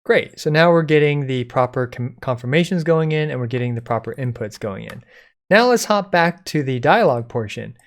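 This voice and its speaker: A man presenting a code-along software tutorial in an informal, step-by-step explaining way. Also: mid-range voice, lively intonation, fairly quick. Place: indoors at a computer, screen recording.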